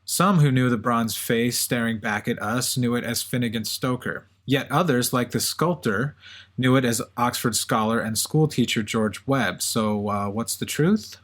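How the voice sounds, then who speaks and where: A man's voice: mid-range voice, a little slow, a bit bright. A man hosting a podcast with conversational, semi-scripted storytelling. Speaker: podcast co-host.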